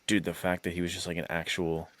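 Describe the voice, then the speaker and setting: An American man co-hosting a podcast, chatting casually in halting, slangy phrases peppered with "like". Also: sits low, completely flat delivery, a bit bright, no room sound. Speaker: podcast co-host. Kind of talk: casual chat.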